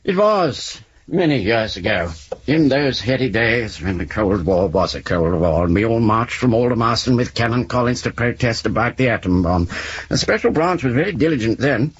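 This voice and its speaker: A husky, flippant English novelist. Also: male voice, mid-range voice, sing-song, moderate pace. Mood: wry, reminiscing. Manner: wry, verbose, urbane, mock-courteous. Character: flippant, theatrical male novelist, ageing former communist. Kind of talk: 1970s radio drama acting. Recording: dull lo-fi 1970s radio recording.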